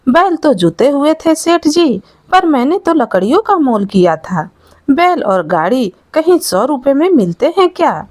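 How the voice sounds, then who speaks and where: A woman's voice: high-pitched, big pitch swings, unhurried, a little mellow, no room sound, clean studio sound. A woman storyteller voicing a humble, aggrieved old peasant man with a plaintive, simple manner in a moral tale. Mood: bewildered.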